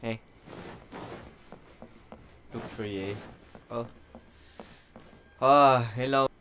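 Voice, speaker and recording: A man's voice: mid-range voice, extremely slow, fairly bright tone, a little hiss or hum. A man giving casual, jokey live gameplay commentary with an irreverent, sweary manner. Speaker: game streamer. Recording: dull lo-fi mic over game music.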